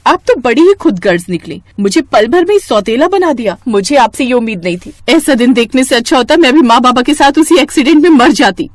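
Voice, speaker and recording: A woman's voice: sits high, lively intonation, fairly quick, hardly any pauses, no room sound, pitched above the performer's own voice. A woman voicing a trusting, easily hurt younger sister, a college student, in an earnest, emotional manner for a story cartoon. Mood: hurt, angry. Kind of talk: Hindi moral-story cartoon dialogue.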